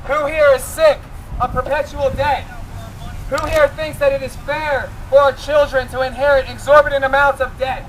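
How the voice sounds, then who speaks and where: A man's voice: very high-pitched, little pitch movement, moderate pace, a bit bright, low-quality recording. A man giving an insistent political street speech through a bullhorn, firing rhetorical questions at passersby as an activist. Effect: amplified through a bullhorn. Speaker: political activist campaigning in public. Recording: outdoor street recording with clear traffic noise.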